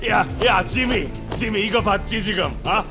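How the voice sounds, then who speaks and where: Arrogant, high-pitched Korean gambler. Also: male voice. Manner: taunting, sneering, laughing. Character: arrogant, foul-mouthed male Korean high-stakes gambler. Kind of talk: audio drama acting.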